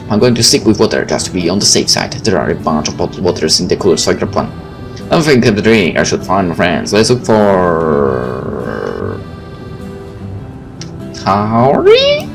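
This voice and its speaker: A man with a very low, animated voice hosts a game playthrough. He reads the narration aloud and slips in playful remarks. Soft music runs underneath. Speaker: gaming video host. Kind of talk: reading game narration aloud with casual commentary. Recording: faint game music behind the voice.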